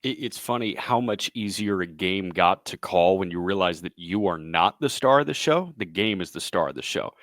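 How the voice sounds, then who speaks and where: Low-voiced young American man, dry sound. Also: expressive, normal speed, hardly any pauses, fairly bright tone, no room sound. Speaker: baseball play-by-play broadcaster, interview guest. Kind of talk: casual conversational interview answers.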